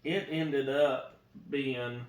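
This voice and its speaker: A man's voice: mid-range voice, steady tone, drawn-out words, reverberant, poor audio. A man talking casually with his wife about faith and marriage, earnest and preachy, often repeating himself. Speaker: co-host, husband.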